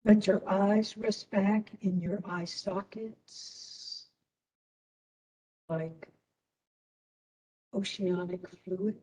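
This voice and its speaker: A woman instructor leading a guided meditation in a soothing manner, with long pauses between short phrases. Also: medium pitch, steady tone, dark tone, far from the mic, poor audio.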